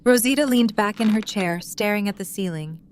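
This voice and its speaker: A woman's voice: medium pitch, normal speed, slight background noise. A woman reading scripted story narration as the narrator of an audio drama.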